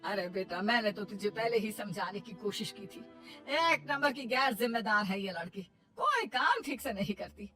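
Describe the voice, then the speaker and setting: A woman voicing a scornful, scheming mother-in-law, bright voice. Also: mid-range voice, sing-song, normal speed, soft background music. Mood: accusing. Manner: scolding, accusatory. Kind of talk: cartoon moral story dialogue.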